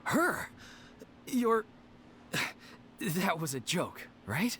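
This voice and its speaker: A man's voice: sits high, big pitch swings, very slow, a bit bright, a little hiss or hum, exaggerated voice. A man voicing an earnest, humble young amateur theatre leader in an anime video game, speaking politely and hesitantly. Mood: surprised. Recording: music behind the voice.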